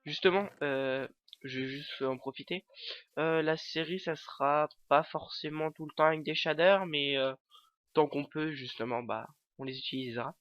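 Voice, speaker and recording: A man's voice: fairly high pitch, moderate pace, dull audio, a little hiss or hum. A man hosting a let's play with a stuffy nose from a cold, talking through his game in hesitant, filler-heavy rambles. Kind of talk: casual gameplay commentary.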